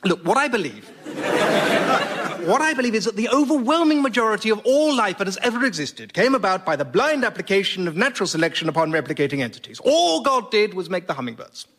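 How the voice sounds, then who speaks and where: An actor playing an earnest, precise older British biology professor, defending himself in a radio comedy interview. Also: male voice, high-pitched, sing-song, fast, fairly bright tone, heavily acted. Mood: defensive, smitten. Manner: earnest, precise, hedging academic. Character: earnest, defensive biology professor besotted with his baby granddaughter. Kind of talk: radio sketch comedy played as a formal interview.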